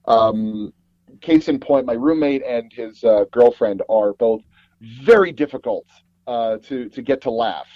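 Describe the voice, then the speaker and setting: A man being interviewed as a guest, telling personal anecdotes in a rambling, halting way and often circling back to rephrase. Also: mid-range voice, no room sound, dull audio. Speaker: guest audio drama producer and actor.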